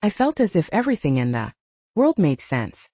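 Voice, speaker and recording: A woman's voice: deep voice, sing-song, normal speed, mellow, slightly rough, no room sound, dull audio. A woman narrating a fiction audiobook in a dramatic first-person storytelling style.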